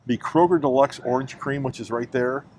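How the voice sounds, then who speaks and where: A hoarse man with a Midwestern American accent, hosting a casual shopping vlog and chatting about prices. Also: mid-range voice, normal speed, darkish tone, slight background noise. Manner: chatty, talks prices aloud. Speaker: vlog host. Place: car, then grocery store aisles.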